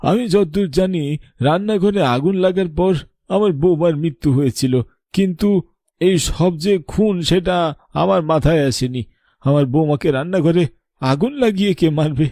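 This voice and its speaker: A man's voice: fairly high pitch, moderate pace, warm tone, no room sound, clean studio sound, close to an everyday voice. A man voicing a polite, troubled elderly village father-in-law in a horror cartoon, hesitant and questioning. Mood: troubled, disbelieving.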